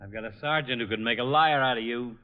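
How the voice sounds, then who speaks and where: An actor playing a put-upon, dryly sarcastic police lieutenant in an old-time radio detective drama. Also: male voice, mid-range voice, fast talker, lightly acted. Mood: exasperated, sarcastic. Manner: dry, grudging. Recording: muffled old radio recording, faint noise.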